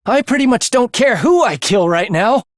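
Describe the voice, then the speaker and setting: Wildly expressive, morbid young assassin. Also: male voice, very high-pitched, normal speed, no room sound, clean studio sound. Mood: bitter, morbid. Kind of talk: English video game voice acting.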